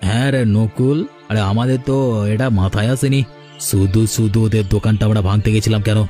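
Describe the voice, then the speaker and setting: A man's voice: fairly low pitch, level tone, a little fast, warm tone, faint music behind, lightly acted. A man voicing a crude, envious, bossy gang boss in a village folk-tale cartoon. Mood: menacing, gloating.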